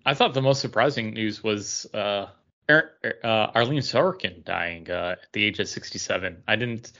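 A man's voice: lowish voice, normal speed, sharp tone, lo-fi sound. A man chatting casually as a podcast co-host. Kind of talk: casual chat.

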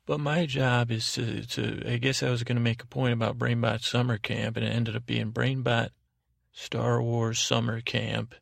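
Male bedtime podcast host, heavily creaky, narrow droning delivery, self-deprecating rambler who trails off and circles back. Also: mid-range voice, little pitch movement, no echo, studio quality. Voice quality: gravelly, creaky. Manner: meandering, halting, deliberately dull drone.

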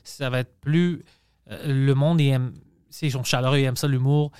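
Sweary bilingual comic host, halting accented Quebec French. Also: male voice, medium pitch, steady tone, darkish tone, clean tone, dry recording, studio quality. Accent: non-native Quebec French with English mixed in. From Montreal, Canada. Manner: sweary, halting French peppered with English slang. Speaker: podcast host and stand-up comedian. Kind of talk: casual podcast chat.

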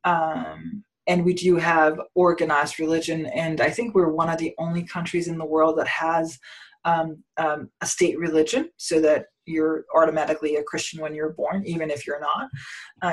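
A woman from Denmark in a casual conversation about spirituality, rambling with many restarts and fillers and often trailing off quietly. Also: sits low, steady tone, moderate pace, low-quality recording.

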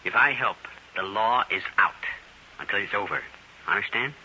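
An actor playing a guarded, bitter underworld informant in an old radio crime drama, curt and wary. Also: male voice, on the low side, normal speed, bright, forceful tone. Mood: grim, wary. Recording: hissy, muffled old radio recording.

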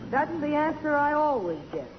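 A woman's voice: high voice, very animated, normal speed. An actress playing a frank, loyal female assistant with a dry, plain-spoken manner in vintage radio drama. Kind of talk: mid-century American radio acting. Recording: dull, noisy old radio broadcast recording.

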